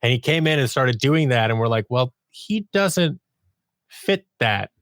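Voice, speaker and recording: A man's voice: mid-range voice, lively intonation, a bit bright, no room sound, studio quality. Man thinking aloud in an interview. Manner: halting, thinking aloud, often restarting phrases and acting out quoted remarks. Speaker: podcast guest, audio drama writer and producer. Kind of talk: casual interview conversation.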